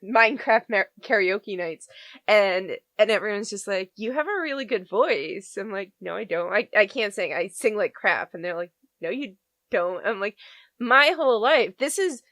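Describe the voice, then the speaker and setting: A woman's voice: mid-range voice, expressive, moderate pace, a bit bright, dry recording. Young woman cohost, casual chat, dry close mic, fillers everywhere. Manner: chatty, many fillers and laughs. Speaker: podcast cohost.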